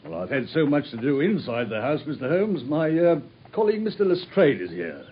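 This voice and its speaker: A man's voice: a little high, sing-song, a little fast, breathy edge. An actor playing a harried, self-assured male police inspector in a radio drama, sounding bluff and a little defensive. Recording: dull old radio broadcast.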